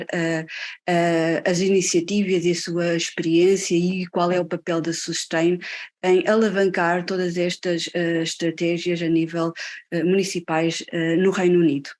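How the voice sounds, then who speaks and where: A woman's voice: fairly low pitch, flat delivery, unhurried, darkish tone. A woman moderating an online event over a video call, hesitant with many fillers.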